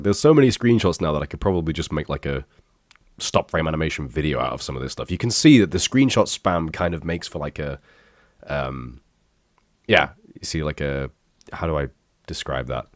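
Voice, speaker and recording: A man's voice: very deep voice, no room sound, studio quality. A young man with a stuffy, bunged-up voice, rambling and joking at his own expense while reacting to his old videos. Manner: rambling, self-deprecating, swears casually. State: congested, bunged up from illness. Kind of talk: casual reaction commentary.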